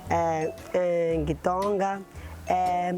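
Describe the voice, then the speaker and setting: Slow-talking man over soft music. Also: high voice, flat delivery. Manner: slow, listing words one by one. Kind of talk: short self-introduction.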